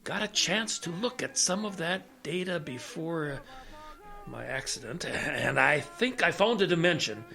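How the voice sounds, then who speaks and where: A man's voice: on the high side, animated, a little slow, vocal fry, bright, forceful tone, soft background music, exaggerated voice. An actor playing a blinded, absent-minded scientist major, explaining hesitantly as he thinks aloud in an audio drama. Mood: thoughtful explaining.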